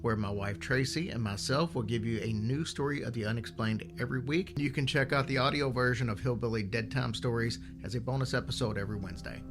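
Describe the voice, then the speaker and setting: A man's voice: medium pitch, quick pace, hardly any pauses. A man who hosts a podcast, reading a scripted promotional spot. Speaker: podcast host.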